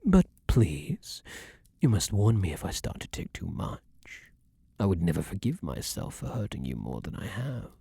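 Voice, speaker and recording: A man's voice: low voice, wildly swooping pitch, moderate pace, vocal fry, mellow, husky, dry recording, clean studio sound, full character voice. A man playing a vampire king, an ardent, protective lover with courtly, formal phrasing, in an intimate ASMR roleplay. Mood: concerned, pleading.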